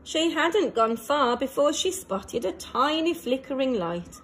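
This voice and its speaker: A woman reading a children's storybook aloud as an early years teacher, in an expressive storytelling manner made for young listeners. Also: high-pitched, a little slow, slight background noise, rough recording.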